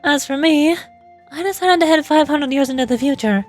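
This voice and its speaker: Woman voicing a high-pitched, resolute warrior queen. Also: a little fast, close to an everyday voice. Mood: solemn, resolute. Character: resolute warrior queen, noble, solemn fire ruler. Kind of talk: dramatic reading of game dialogue. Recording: game music faintly behind.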